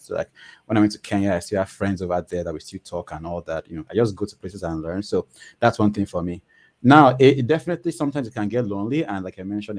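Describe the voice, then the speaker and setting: Man, low voice, fast pace, casual panel guest, chatty, filler-heavy. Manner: chatty, frequent "you know" fillers.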